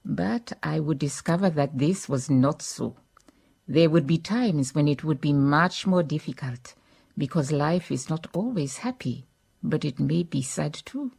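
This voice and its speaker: An actress playing a calm, shrewd, kindly woman detective in a radio drama, measured and politely firm, with a Southern African accent. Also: deep voice, gravelly, breathy edge, dry recording, heavily acted. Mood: reflective. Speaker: lead actor in a radio drama. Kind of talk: radio drama acting with reflective first-person narration.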